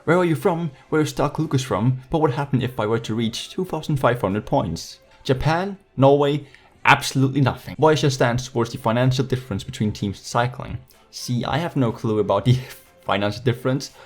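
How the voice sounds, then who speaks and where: An eighteen-year-old man from Denmark with a Danish accent, chatting casually and jokily to viewers as a YouTuber. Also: medium pitch. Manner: jokey, slangy, playfully sarcastic. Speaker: YouTuber hosting a Q&A.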